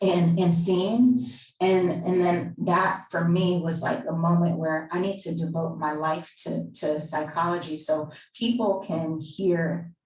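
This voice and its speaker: A young woman in her twenties, soft-spoken and thoughtful, hosting and sharing her own story. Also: on the low side, fairly even intonation, measured pace. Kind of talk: casual personal storytelling. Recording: echoey room, distant mic.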